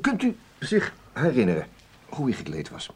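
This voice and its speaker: A man's voice: mid-range voice, huge pitch swings, moderate pace, a little mellow, husky. A man acting a mild, apologetic police investigator in a radio drama, speaking politely and hesitantly. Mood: hesitant, probing. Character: mild, apologetic male police investigator asking probing questions.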